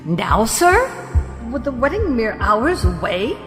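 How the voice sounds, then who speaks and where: A woman's voice: on the high side, sing-song, slow pace, fairly bright tone, soft background music, heavily acted. A woman playing a timid, flustered royal underling with a hesitant, deferential manner in an audio drama. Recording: reverberant hall.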